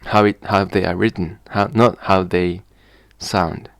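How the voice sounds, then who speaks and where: A man with a Japanese accent, explaining in a hesitant, halting vlog way and slowly sounding out example words. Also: low-pitched, flat delivery, creaky, dry recording. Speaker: vlogger. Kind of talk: casual spoken explanation to camera.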